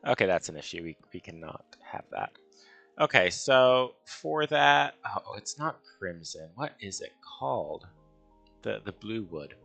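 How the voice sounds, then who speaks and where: Gravelly low-voiced man thinking aloud. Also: sing-song, measured pace, a bit bright, soft background music. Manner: hesitant, musing, talks to himself. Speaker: gaming video host. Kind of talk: casual Minecraft building commentary, thinking aloud.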